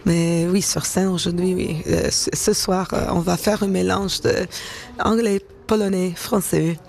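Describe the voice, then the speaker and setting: A woman in her thirties, a singer-songwriter from Toronto, speaking French with an English-speaking Canadian accent, pausing often to find her words in a radio interview. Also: fairly low pitch, steady tone, gravelly, darkish tone. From Canada, born in Toronto. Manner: hesitant, searching for words, many fillers.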